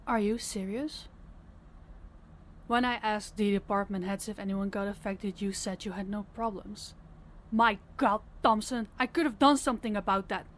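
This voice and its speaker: A woman's voice: mid-range voice, steady tone, moderate pace, slight background noise, natural voice. A woman playing a stern, fed-up crime boss in an audio roleplay, commanding and blunt. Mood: angry, commanding. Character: stern, fed-up female crime boss scolding her subordinate.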